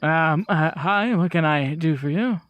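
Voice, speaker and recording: A fussy, well-to-do gentleman acted out by a man in a tabletop game. He speaks in a raised pitch with polite, nervous stammers, eager to please. The sound is dry. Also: a little slow, no echo, highs cut off, lightly acted. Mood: flustered politeness.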